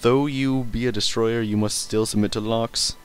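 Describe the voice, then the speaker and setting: A man's voice: mid-range voice, steady tone, moderate pace. A man doing casual let's play commentary, rambling, swearing casually and stumbling over hard words as he reads. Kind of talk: casual gameplay commentary and reading in-game text aloud.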